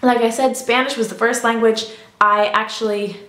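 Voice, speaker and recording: A woman's voice: a little high, steady tone, normal speed, a bit bright. A young woman vlogging casually about learning languages, chatty and full of ums and you-knows. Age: young adult. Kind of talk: casual vlog talk. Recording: echoey room.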